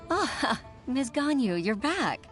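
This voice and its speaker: A woman playing a gentle, courteous townsperson in a fantasy video game. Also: high voice, sing-song, takes it slowly, faint music behind, heavily acted. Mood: relieved, welcoming. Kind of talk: English video game voice acting.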